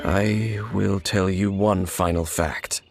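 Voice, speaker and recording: An actor playing an aloof, guarded wandering swordsman in a video game, speaking in a grave, measured, terse manner. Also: sits low, steady tone, vocal fry, exaggerated voice. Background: game music.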